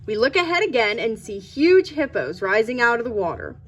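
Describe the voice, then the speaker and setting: A woman's voice: a little high, sing-song, a little fast, fairly bright tone. A woman hosting a read-aloud, reading a children's storybook. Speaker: channel host and story reader.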